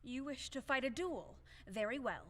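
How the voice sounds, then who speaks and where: An actress playing a proud, fiery widow who is easily offended, haughty and melodramatic, in an audio play of a classic farce. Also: female voice, high-pitched, lively intonation, normal speed, long reverb tail. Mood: furious, defiant.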